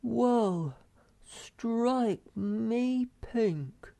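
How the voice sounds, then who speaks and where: A young troll with an earnest, eager voice, as a man puts him on, pitched well above the reader's own. It is recorded close and dry, with a little gravelly texture. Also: expressive, drawn-out words, dark tone, no echo, exaggerated voice.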